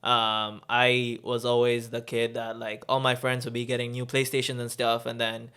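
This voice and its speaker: Male podcast host, even flat delivery, smooth clear tone, relaxed questioning. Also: mid-range voice, normal speed. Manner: easygoing, asks questions with many fillers. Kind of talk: casual interview talk.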